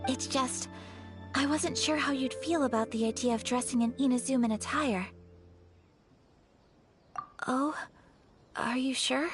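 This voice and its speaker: An actress playing a polite, earnest young noblewoman in a video game, speaking in a formal, measured and reserved way. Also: high voice. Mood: polite, curious. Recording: game music behind the voice.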